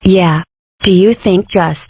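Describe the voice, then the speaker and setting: A woman's voice: on the low side, fairly even intonation, slow pace, husky, no echo, heavily acted. A woman voicing an inquisitive, dramatic young cartoon girl in a comic strip dub, with wry, theatrical musing. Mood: puzzled. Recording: muffled phone-like audio.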